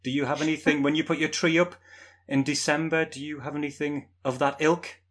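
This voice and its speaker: A man's voice: a little high, level tone, a little fast, a bit bright. Man, northern english accent, podcast host, jokey friendly questions. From northern England. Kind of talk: casual chat.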